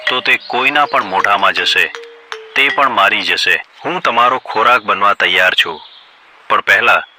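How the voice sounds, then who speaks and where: A man's voice: on the low side, background noise, close to an everyday voice. A man voicing a clever, polite donkey who speaks deferentially and coaxingly in a children's cartoon story. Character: clever, polite male donkey pleading with a lion king. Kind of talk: animated children's story voice acting.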